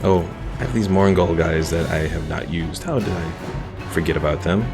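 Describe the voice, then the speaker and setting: A man's voice: low-pitched, moderate pace, gravelly, music in the background. A man streaming a strategy game, muttering, cursing at it and reading chat aloud. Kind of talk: casual gameplay commentary.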